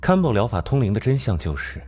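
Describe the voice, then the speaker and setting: Flippant, drawling occult sleuth. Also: male voice, fairly low pitch, big pitch swings, fast, a little mellow, slight background noise. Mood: smug, explanatory. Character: sleepy, flippant young male occult expert aiding detectives. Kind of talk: animated crime drama voice acting.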